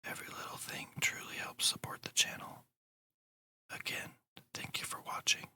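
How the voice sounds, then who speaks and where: Casting: ASMR meditation guide who whispers throughout, crisp and breathy, with slow, deliberate, soothing delivery. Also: pushed and bright. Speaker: ASMR channel host and meditation narrator.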